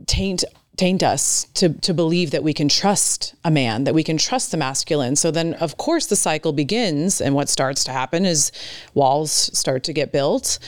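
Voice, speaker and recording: Lady podcast host, lowish smooth voice, emphatic, dry mic. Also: lively intonation, moderate pace, dry recording. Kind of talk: conversational podcast talk.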